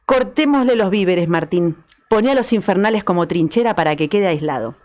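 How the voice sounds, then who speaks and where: A woman plays a commanding patriot woman of old Argentina in a radio drama. She speaks with an Argentine accent, low for a woman, insistent and urgent as she presses her case. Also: lively intonation, a little fast, a little mellow, close to an everyday voice. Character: urgent, persuasive patriot woman advising her brother, the governor. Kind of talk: dramatized historical radio reading.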